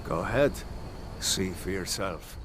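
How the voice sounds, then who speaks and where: An actor playing a steady, protective northern rebel soldier in a fantasy video game, plain-spoken and reassuring. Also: male voice, sits low, measured pace, background noise. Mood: guiding, cautious. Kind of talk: video game dialogue acting. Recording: game audio under loud music.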